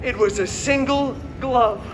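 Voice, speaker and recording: A man's voice: extremely high pitch, a little slow. A man playing a cocky, wisecracking comic-book antihero, telling a fairy tale theatrically to a live audience with sarcastic asides. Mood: wry. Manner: sarcastic, self-mocking asides. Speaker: costumed character performer hosting live story show. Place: outdoor theme park show, filmed from audience. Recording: noisy outdoor crowd recording of live show.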